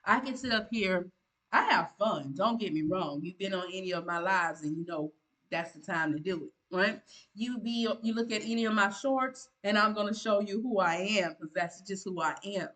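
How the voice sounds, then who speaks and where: A woman's voice: medium pitch, normal speed, fairly bright tone, poor audio. Earnest preachy woman in her fifties. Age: 50s. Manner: preachy, emphatic, repeats herself with restarts. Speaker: content creator giving channel advice. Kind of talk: earnest motivational monologue.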